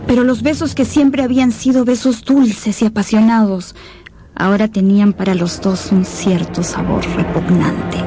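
A woman's voice: medium pitch, close to an everyday voice. A woman narrating a tragic radio drama as a solemn storyteller, in scripted dramatic style.

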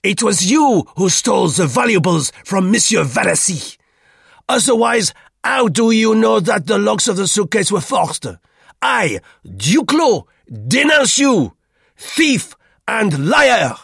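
A man's voice: sits high, big pitch swings, takes it slowly, gravelly, fairly bright tone, breathy edge, dry recording, clean studio sound, pitched above the performer's own voice, exaggerated voice. A man voicing a pompous, indignant old French businessman in an audiobook, stern and declamatory. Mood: furious, accusing.

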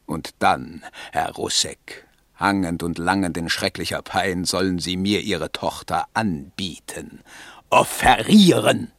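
A man's voice: deep voice, a bit bright, dry recording, heavily acted. A man playing a sardonic, calculating suitor who plots political revenge in a 1970s German radio play. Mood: gloating.

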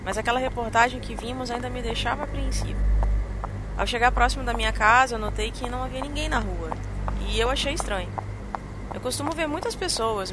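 A woman's voice: a little high, close to an everyday voice. An actress playing a tired, fearful woman, narrating a horror audio drama in an apprehensive, hesitant, dramatic manner. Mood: uneasy. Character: tired, fearful woman recounting a nighttime attack. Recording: noisy urban street ambience with traffic.

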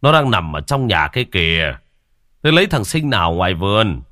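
A man voicing an older village husband who speaks in a gruff, dismissive, matter-of-fact way. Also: deep voice, sing-song, normal speed, sharp tone, no echo, clean studio sound, heavily acted. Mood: gruff skeptical.

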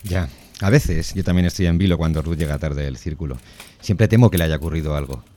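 A man's voice: a bass voice, a little fast, dark tone. A man playing a timid, worried homemaker father in an audio drama, fretful and agreeable.

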